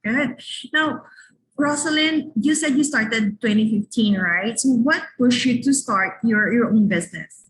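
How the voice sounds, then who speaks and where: Woman, interview host, friendly, hesitant, high pitch, faint background noise. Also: unhurried, fairly bright tone, distant mic, poor audio. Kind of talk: casual interview questions.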